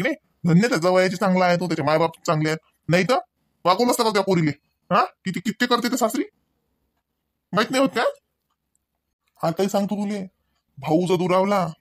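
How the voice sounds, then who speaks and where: Guy doing a cranky older maharashtrian village husband for a cartoon, rattles off scolding questions, high voice, dry close sound. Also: no room sound, close to an everyday voice. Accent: rural Maharashtrian Marathi. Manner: hectoring, badgering with rhetorical questions. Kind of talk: comic cartoon dialogue, domestic argument.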